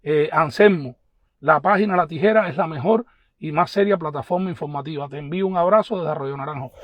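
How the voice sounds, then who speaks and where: A man with a notably high voice and a Havana Cuban accent delivers live political commentary. He is emphatic and insistent, often repeating himself. The sound is dry and somewhat dull, like a lo-fi stream. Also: brisk, no room sound. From Cuba. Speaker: host of an online news page.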